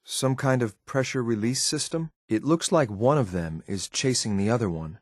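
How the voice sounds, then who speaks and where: A man's voice: mid-range voice, moderate pace, no echo, studio quality, lightly acted. A man playing a cool, efficient synth enforcer in a video game, clipped and matter-of-fact, watchful and faintly condescending. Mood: detached, observant. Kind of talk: video game companion voice lines.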